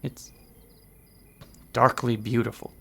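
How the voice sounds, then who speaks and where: Haunted, intense horror writer, creaky voice. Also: male voice, medium pitch, little pitch movement, takes it slowly, sharp tone, heavily acted. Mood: fascinated. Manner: earnest, articulate, florid. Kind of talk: theatrical radio drama acting.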